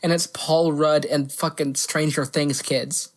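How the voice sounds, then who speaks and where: A woman's voice: low voice, fairly even intonation, unhurried. A woman co-hosting a podcast, chatting casually about movies with playful riffs. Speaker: podcast co-host.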